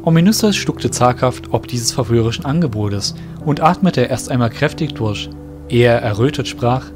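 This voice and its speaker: A man narrating a fan-made audio drama in a calm, even storytelling manner. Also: mid-range voice, normal speed. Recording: soft background music.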